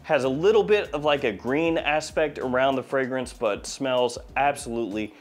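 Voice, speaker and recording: A man's voice: mid-range voice, moderate pace, faint music behind. A man in his forties with a slight head cold, giving a casual, jokey product review as a video host. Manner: playful, jokey, wry asides. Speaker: fragrance review channel host. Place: small indoor studio.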